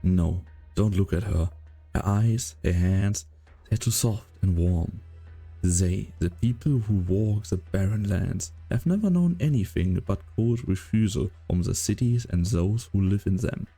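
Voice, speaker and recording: A man playing a grave, brooding storyteller, narrating a dramatic fan dub in a gravelly, husky voice. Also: on the low side, unhurried, dark tone, soft background music, exaggerated voice.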